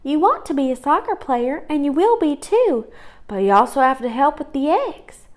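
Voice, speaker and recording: A woman's voice: high-pitched, big pitch swings, moderate pace, pitched above the performer's own voice. A woman reader acts out a young sister bunny from a children's storybook. The voice is raised well above an adult's, earnest and emphatic, full of loyal determination. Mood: firm, reassuring. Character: young girl rabbit, devoted, determined little sister.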